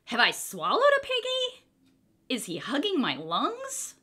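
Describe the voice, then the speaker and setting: Lady reading a kids book out loud, bright voice, big swoopy theatrical delivery. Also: high-pitched, measured pace. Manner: expressive, theatrical. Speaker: storybook reader. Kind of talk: dramatic children's book read-aloud.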